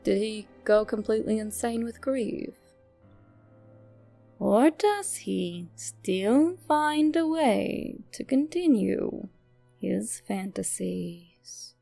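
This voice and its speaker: A woman narrates a scripted creepy fan-theory story over faint music. Her voice sits on the high side and swings animatedly up and down. It often breaks into a noticeable vocal fry. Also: animated, extremely slow, dark tone.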